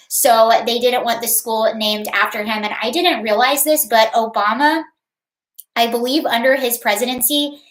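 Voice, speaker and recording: Woman host, very high voice, chatty and rambling, casual livestream, echoey room. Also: a bit bright. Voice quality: high-pitched. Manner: chatty, rambling, greets viewers by name.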